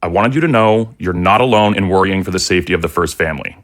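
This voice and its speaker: An actor playing a grave, guarded older general in an audio drama, speaking in a formal, measured, confiding way. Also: male voice, low-pitched, a bit bright. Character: grave, guarded older male military general, quietly loyal.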